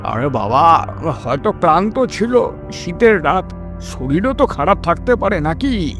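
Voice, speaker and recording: A man's voice: a little high, big pitch swings, a little slow, over music, pitched above the performer's own voice. A man voicing a dismissive old village uncle, exclaiming and brushing worries aside in a story. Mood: surprised, dismissive.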